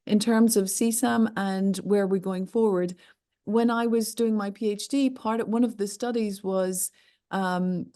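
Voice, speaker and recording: A woman's voice: fairly low pitch, mellow. A woman with an Irish accent, answering interview questions earnestly and with emphasis, often repeating words for stress. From Ireland. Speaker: interview guest.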